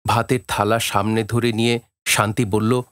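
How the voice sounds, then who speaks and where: A man narrating a literary story for an audio drama in a measured, dramatic way. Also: lowish voice, flat delivery. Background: faint music.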